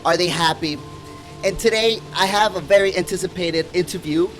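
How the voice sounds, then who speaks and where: A man hosting a casual travel podcast interview, friendly and quick to chime in with "yeah, yeah" and "bro.". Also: high-pitched, a bit bright, faint music behind, low-quality recording. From grew up in Florida. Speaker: podcast host.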